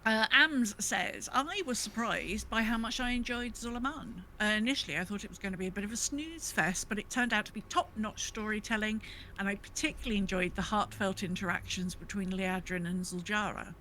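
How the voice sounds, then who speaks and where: A woman's voice: mid-range voice, a little fast, sharp tone, a little hiss or hum, poor audio. A woman co-hosting a podcast, chatting casually and reading out listener comments with gushing enthusiasm. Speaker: podcast co-host.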